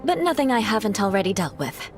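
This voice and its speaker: A woman's voice: medium pitch, sing-song, fast talker, music in the background. A woman playing a proud, aloof young noblewoman knight in a video game, formal, haughty and decisive. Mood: cool, firm.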